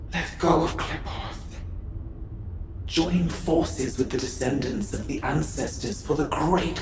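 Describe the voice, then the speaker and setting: An actor playing a loyal, weary servant commander in a video game, a man speaking formally and gravely. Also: fairly high pitch. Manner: deferential, grave, formal, pleading. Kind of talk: dramatic video game acting. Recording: echoey, noisy transmission.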